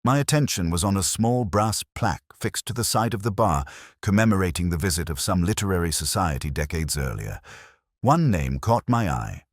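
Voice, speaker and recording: Man acting melancholy detective narrator, very low voice, expressive rise and fall, measured, literary, scripted radio mystery. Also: dry recording, clean studio sound, exaggerated voice. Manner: measured, literary, brooding delivery.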